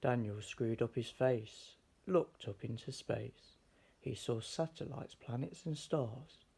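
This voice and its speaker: A man reading a rhyming children's story aloud in an expressive, theatrical, measured way. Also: mid-range voice, gravelly, breathy edge. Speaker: storybook reader.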